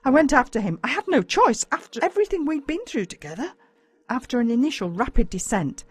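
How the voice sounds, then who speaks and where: A woman's voice: fairly high pitch, breathy edge, no room sound. A woman with an Australian accent narrating a children's story in a dramatic, suspenseful way. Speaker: audiobook narrator. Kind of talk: scripted first-person story narration for children.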